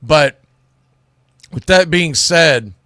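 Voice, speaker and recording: Man, sports talk host, slightly high voice, blunt, emphatic, opinionated, folksy slang, dry close sound. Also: lively intonation, very slow, bright, forceful tone, no room sound. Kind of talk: opinionated sports commentary, ranking teams.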